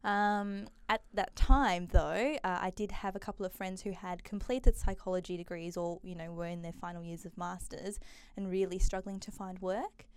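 A woman's voice: lowish voice, steady tone, normal speed, clear, resonant tone, no room sound. A young woman from Malaysia, chatting as an interview guest, with frequent um and uh fillers. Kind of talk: casual interview conversation.

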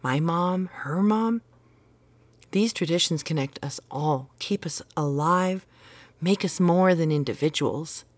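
A woman's voice: low voice, slow, no room sound. An actress playing an earnest, exacting mother devoted to tradition in an audio drama, with an insistent, instructive manner. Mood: earnest, reassuring. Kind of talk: naturalistic audio drama dialogue.

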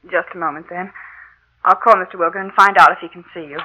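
An actress playing a young office receptionist, polite and easily frightened, with formal, theatrical delivery in an old radio drama. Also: female voice, fairly low pitch, steady tone, fast, bright, forceful tone. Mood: polite, businesslike. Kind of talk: 1940s radio drama acting. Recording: noisy, tinny old radio recording.

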